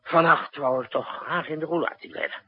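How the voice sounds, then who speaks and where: An actor playing a brisk, commanding president who gives curt military orders in a 1960s Dutch radio drama. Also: male voice, a little high. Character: commanding, brisk male president directing a night-time military crisis. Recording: muffled, husky-sounding old radio broadcast recording.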